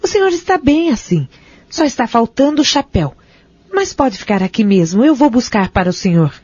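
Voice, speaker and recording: A woman playing a calm, devout caretaker at a care home in an audio drama, with a Brazilian accent and a formal, reassuring way of talking. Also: a little high, a little fast, breathy edge, no echo. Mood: kindly. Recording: dull lo-fi audio, music behind.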